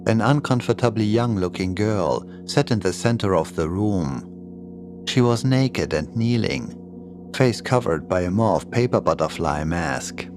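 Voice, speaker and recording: A man's voice: lowish voice, animated, a little slow, over music. A man reading a scripted horror story as its narrator.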